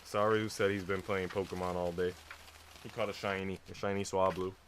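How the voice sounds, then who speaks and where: A man's voice: low-pitched, little pitch movement, a little fast. A man on a live stream, reading visual novel text aloud and chatting casually with viewers. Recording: noisy mic with typing sounds.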